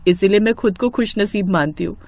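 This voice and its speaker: Woman playing a gentle, dry-sounding young wife. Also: mid-range voice, brisk, no room sound, close to an everyday voice. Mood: grateful. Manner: polite, deferential.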